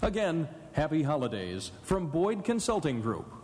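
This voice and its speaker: A man's voice: fairly high pitch, very animated, moderate pace, darkish tone, a little hiss or hum. A man reading a scripted sponsor message as an announcer for a live radio broadcast. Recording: echoey hall live broadcast.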